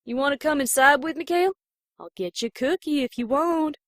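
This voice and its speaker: A woman's voice: sits high, brisk, dry recording, studio quality, natural voice. A woman playing a caring big-sister cartoon pony with folksy country turns of phrase in an audio drama fan reading. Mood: warm, reassuring.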